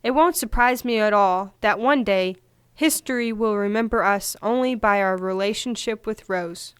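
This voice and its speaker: Woman as polite eager character recalling old times in an audio play, clean smooth voice, dry sound. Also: medium pitch, moderate pace, no room sound, natural voice. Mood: polite, reminiscing. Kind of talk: audio drama acting.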